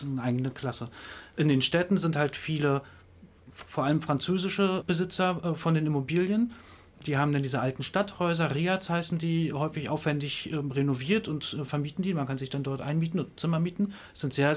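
A man with a somewhat high voice gives careful interview answers. He talks in a measured way, often stopping to search for the right word. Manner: thoughtful, hesitant with many fillers. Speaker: interviewed expert on tourism.

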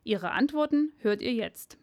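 A woman leading a school radio club, co-hosting the show and reading a prepared script in a clear, even way. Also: on the high side, unhurried, highs cut off.

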